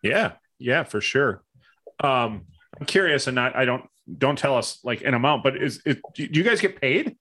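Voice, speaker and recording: A man's voice: mid-range voice, expressive, normal speed, bright, forceful tone. A man co-hosting a podcast, chatting casually and feeling his way through his remarks with tentative rephrasing. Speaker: podcast co-host. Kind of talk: casual interview chat.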